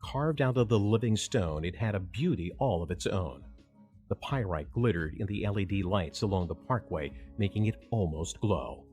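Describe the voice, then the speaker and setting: Male narrator for audio drama: deep voice, scripted storytelling, faint music bed. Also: expressive, normal speed, a little mellow.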